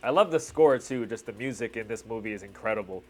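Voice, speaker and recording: A man's voice: fairly low pitch, expressive, fast, darkish tone, faint music behind. A man in his thirties giving casual reaction commentary as a video host, talking like an enthusiastic fan with slangy asides.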